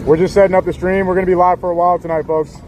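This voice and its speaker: A man with a hoarse, worn-out voice chatting casually to his live-stream viewers outdoors on a city street. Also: high-pitched, little pitch movement, rapid-fire. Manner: chatty, laid-back, talks straight to viewers. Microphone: small clip-on mic. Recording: loud street noise outdoors.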